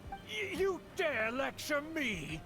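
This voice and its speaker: A haughty scheming aristocrat uncle in an anime game. The voice is notably high for a man, pompous and theatrical, over clear background music. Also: huge pitch swings, slow, heavily acted. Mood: indignant. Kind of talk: anime video game acting.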